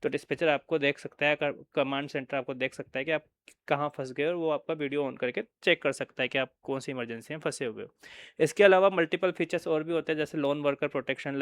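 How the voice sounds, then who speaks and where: A man's voice: fairly high pitch, a bit bright, smooth, dry recording. A man with an Indian accent giving an informal product walkthrough, explaining and often checking in with listeners. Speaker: product presenter.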